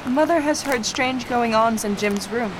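Woman playing an impatient, highish-voiced young lady. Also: unhurried, heavy background noise, natural voice. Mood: worried, sceptical. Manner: urgent, exasperated. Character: impatient, worried young woman, sceptical and insistent. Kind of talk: radio drama acting.

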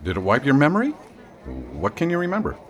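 Small-town sheriff: folksy, wry, grumbling but kind, hugely animated mid-range voice, radio drama. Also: male voice, mid-range voice, fast. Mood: kindly, reassuring.

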